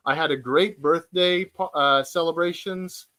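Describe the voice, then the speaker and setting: A forty-year-old man chatting casually on a livestream from his floor at home. His voice sits a little high and rings bright and forward. He talks unhurriedly, stumbling through restarts and filler words as he checks his notes. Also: a little slow. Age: 40s. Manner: hesitant, many fillers and restarts; reads out viewer comments. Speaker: livestream host.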